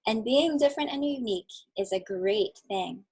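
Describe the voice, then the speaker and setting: A woman reading aloud as a kind, patient mother explaining things gently to her two boys. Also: fairly high pitch, lively intonation, normal speed, slightly rough. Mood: tender. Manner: gentle and reassuring. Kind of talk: storybook read-aloud.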